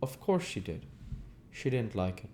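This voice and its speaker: A man's voice: on the low side, very animated, unhurried, dark tone, slight background noise, voice pushed up. A man voicing a lovesick, stubbornly hopeful wealthy man in an audiobook, earnest and insistent. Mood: dejected, insistent. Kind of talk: audiobook character voice.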